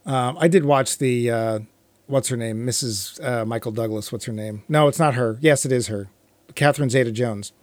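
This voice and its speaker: A man's voice: mid-range voice, moderate pace, no room sound. A middle-aged man chatting casually about film and TV as a co-host, with a wry, joking manner. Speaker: podcast co-host.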